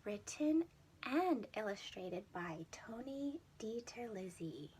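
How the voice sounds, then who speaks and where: Slow-paced woman reading to young children. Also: on the high side, a little hiss or hum, low-quality recording. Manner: child-directed, asks listeners questions, expressive. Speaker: preschool storytime teacher. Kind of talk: children's storybook read-aloud and chat.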